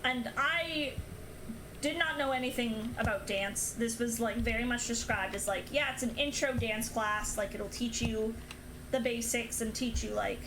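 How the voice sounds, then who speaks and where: A woman's voice: fairly high pitch, unhurried, noisy background. A young woman streamer casually chatting and telling stories while gaming, laughing often. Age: young adult. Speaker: streamer host.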